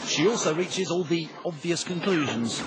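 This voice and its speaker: A man's voice: on the high side, lively intonation, moderate pace, very noisy. A British man delivering scripted documentary narration in a wry, tongue-in-cheek way.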